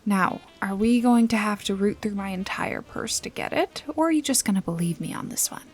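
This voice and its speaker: A woman playing a teasing, bossy, secretive detective in a noir mystery ASMR roleplay, wry and coaxing with a soft, breathy voice. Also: medium pitch, lively intonation, fairly quick, soft background music, natural voice.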